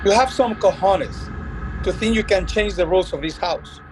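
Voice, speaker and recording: A man's voice: sits high, moderate pace, slight background noise, lightly acted, rough recording. A man acting a tyrannical, angry father who is master of the house, in a play reading. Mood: furious.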